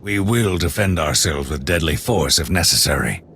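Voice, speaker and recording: A deep-voiced outpost commander. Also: male voice, expressive, moderate pace, fairly bright tone, slight background noise, full character voice. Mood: gruff. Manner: blunt, dry, matter-of-fact. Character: gruff male outpost commander, blunt veteran. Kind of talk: video game cutscene.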